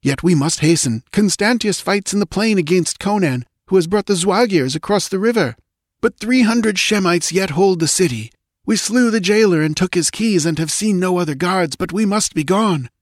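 A man's voice: sits high, expressive, moderate pace, no room sound, clean studio sound, voice pushed up. A man playing an urgent young warrior.

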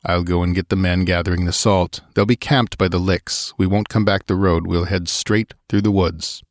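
A man reading a story and voicing a gruff, terse barbarian warrior who gives clipped, urgent orders. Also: low voice, fairly even intonation, moderate pace, dry recording, clean studio sound. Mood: grim urgency.

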